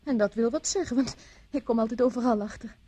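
A woman's voice: fairly high pitch, quick pace, mellow, slight background noise, lightly acted. An actress from the Netherlands playing a charming, teasing young society woman in a radio drama. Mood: coy, teasing. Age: young adult. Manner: teasing, polite, airy.

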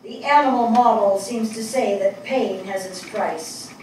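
Older actress as a reflective grieving woman writer, wry, higher-pitched, deliberate stage delivery, very echoey hall. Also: darkish tone, natural voice. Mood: lecturing, wry. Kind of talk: dramatic stage reading of a play. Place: small theatre stage before seated audience. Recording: echoey stage hall, distant mic, faint noise.

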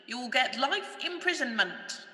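A man's voice: extremely high pitch, expressive, measured pace, sharp tone, heavily acted. A man playing an obedient palace servant to a king in a radio pantomime. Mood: eager, dutiful. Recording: echoey reverberant hall.

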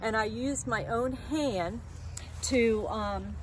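Woman in her sixties, fairly high voice, informal art presentation, explanatory, outdoor setting, distant microphone. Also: takes it slowly. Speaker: artist and art teacher presenting paintings. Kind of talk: informal talk presenting artworks. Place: outdoors by a weathered wooden wall. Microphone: camera a few metres away. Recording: distant mic, noisy outdoor ambience.

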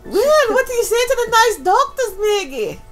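A woman's voice: extremely high pitch, big pitch swings, normal speed, voice pushed up. A woman playing a doting animal lover, cooing in exaggerated baby talk as if to a pet. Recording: music behind the voice.

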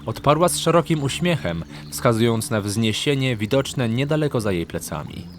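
A man's voice: mid-range voice, expressive, normal speed, slight background noise. A man narrating a scripted fantasy story as an audiobook narrator. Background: background music.

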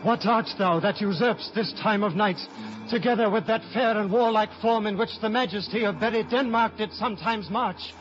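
An actor playing an earnest, loyal scholar in measured, solemn 1950s radio Shakespeare. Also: male voice, high voice, fairly bright tone, slight background noise. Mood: frightened, commanding. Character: Horatio, earnest loyal scholar recounting a tragedy. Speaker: narrator. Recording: muffled 1950s radio broadcast.

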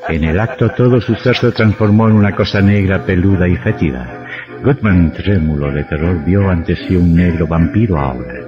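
An actor playing a sinister horror host, narrating a macabre tale slowly and theatrically for old radio. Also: male voice, sits low, natural voice. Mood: ominous. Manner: slow, ominous, theatrical, with cackling laughs. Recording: dull old radio recording with music.

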